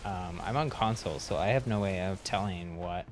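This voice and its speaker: A man's voice: sits low, normal speed, music in the background. A man chatting casually and easily as he live-streams a game. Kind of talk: casual live-stream chat while gaming.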